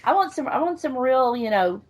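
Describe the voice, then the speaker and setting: A woman's voice: high voice, expressive, moderate pace, a little mellow. A woman hosting a podcast interview, chatting casually with guests in a teasing way and laughing often. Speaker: podcast host.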